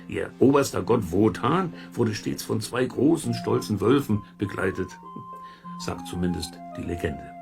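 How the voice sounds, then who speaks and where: A man's voice: on the low side, creaky. An actor playing a folksy, curious man who muses and chats to listeners in a children's audio drama. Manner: musing, chuckling, colloquial, talks to listeners. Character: folksy, curious amateur investigator, chatty middle-aged man. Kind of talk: German children's audio drama, chatty explaining.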